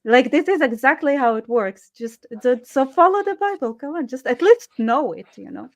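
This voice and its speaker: A woman's voice: sits high, expressive, normal speed. A woman joining a live online chat as a guest, talking sarcastically and peppering her speech with "like". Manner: sarcastic, many "like" fillers. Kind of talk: casual live-stream chat. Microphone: online call.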